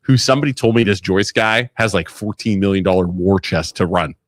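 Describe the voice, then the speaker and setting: A man's voice: sits low, brisk, no echo, clean studio sound. A man from co-hosting a local podcast, chatting casually and laughing through jokey stories. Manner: animated, laughing, jokey storytelling. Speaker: podcast co-host. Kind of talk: casual chat.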